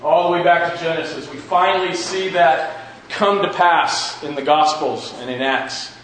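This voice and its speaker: Echoey, higher-voiced man teaching Bible class. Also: normal speed, a bit bright. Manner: repeats and rephrases questions for emphasis. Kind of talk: informal teaching with questions to the class. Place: large echoey indoor room with a class present. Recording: very echoey room with faint noise.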